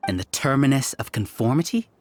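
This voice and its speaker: A man's voice: medium pitch, animated, fairly quick, a little mellow, natural voice. Man playing a calculating game villain, condescending, lecturing, very varied intonation, faint noise. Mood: smug, lecturing. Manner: condescending, lecturing, self-assured. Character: smug, calculating male researcher leading a hive mind. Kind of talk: video game voice acting.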